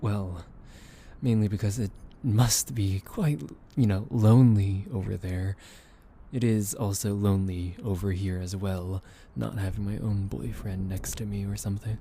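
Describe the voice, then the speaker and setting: A young man in a soft ASMR roleplay, gently soothing and teasing the listener with soft laughs, hesitant fillers and the odd whispered aside. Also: on the low side, steady tone, measured pace, a little hiss or hum.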